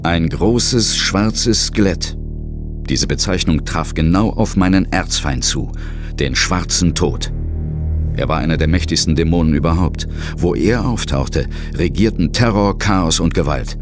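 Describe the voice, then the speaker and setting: An actor playing a wry, determined ghost-hunting detective in a German audio drama, speaking and narrating in a measured way. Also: male voice, low voice, over music. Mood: grave, measured.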